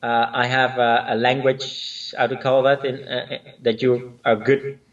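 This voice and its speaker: A man from Spain, a native Spanish and Dutch speaker, chats in English as an interview guest. He hesitates often and restarts his sentences. His voice sits at a middle pitch and sounds set back from the mic on dull lo-fi video call audio. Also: flat delivery, unhurried, recorded from a distance. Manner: hesitant, many fillers and restarts. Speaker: line dance instructor, guest. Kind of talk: casual interview chat.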